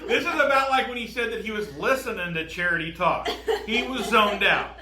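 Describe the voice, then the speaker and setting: Teasing, bright-voiced male podcast co-host. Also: high-pitched, animated, brisk. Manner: teasing, laughs often. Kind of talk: casual banter. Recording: echoey room with faint noise.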